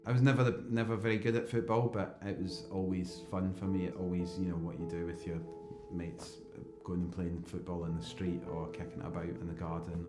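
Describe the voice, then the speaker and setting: Deep, flat Scottish man. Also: a little fast, few pauses. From Scotland. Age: 30s. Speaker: football coach. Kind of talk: documentary interview. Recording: roomy interview with music bed.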